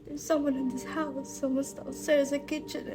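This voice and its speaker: A woman's voice: high voice, flat delivery, normal speed. A young woman in an amateur radio play, acting a demanding, self-pitying invalid with melodramatic, breathless pleading. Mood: terrified, hushed. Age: young adult. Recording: loud dramatic music behind voice.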